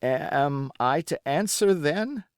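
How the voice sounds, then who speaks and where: A man's voice: expressive, takes it slowly, clean tone, no room sound, studio quality. A man voicing a frightened but plucky young boy in an adventure audiobook. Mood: fearful. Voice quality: tremulous, higher than the narrator. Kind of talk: audiobook character acting.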